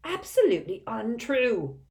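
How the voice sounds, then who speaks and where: Woman playing a wheezy, indignant elderly gentleman. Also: a little high, big pitch swings, measured pace, pitched above the performer's own voice. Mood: stiff, emotional. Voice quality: wheezy, breathy. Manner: stiff, wounded, earnest.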